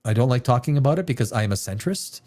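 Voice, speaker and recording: A man's voice: mid-range voice, lively intonation, fast, a little mellow. A middle-aged man from Canada hosting a live show, ranting his opinions in an emphatic, profane way and repeating himself. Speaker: podcast host. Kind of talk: livestream opinion rant.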